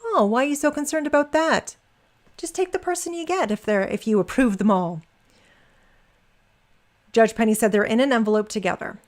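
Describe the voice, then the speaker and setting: A woman's voice: a little high, moderate pace, pauses often. A woman reading a transcript aloud, dropping in informal, wry asides as commentary. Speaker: reader and commentator.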